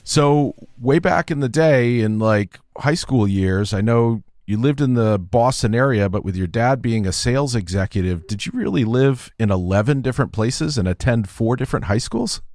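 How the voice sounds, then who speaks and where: A man's voice: mid-range voice, normal speed, hardly any pauses, darkish tone, dry recording, studio quality. A man hosting a podcast, asking curious questions and making light joking asides. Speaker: podcast host. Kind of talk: casual interview questions.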